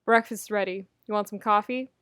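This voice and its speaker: A woman voicing a kind, steady young male roommate. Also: mid-range voice, normal speed, clean tone, no echo, studio quality, lightly acted. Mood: relaxed, drowsy.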